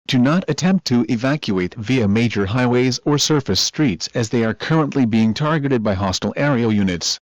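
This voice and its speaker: A man's voice: medium pitch, a little mellow, dry recording. A man reading formal, instructive emergency safety directions from a script. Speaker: emergency alert announcer. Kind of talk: scripted emergency alert announcement. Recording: dull, dry lo-fi audio.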